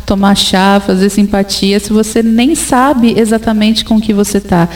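A woman's voice: medium pitch, steady tone, measured pace, darkish tone. A woman hosting an interview, chatting and prompting the guest with tag questions. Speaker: interviewer host. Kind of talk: interviewing, reading listener questions.

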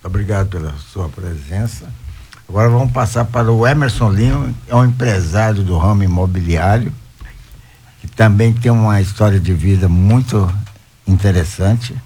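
Man, talk show host, low voice, husky, short plain questions. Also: moderate pace, dark tone. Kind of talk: interviewing guests.

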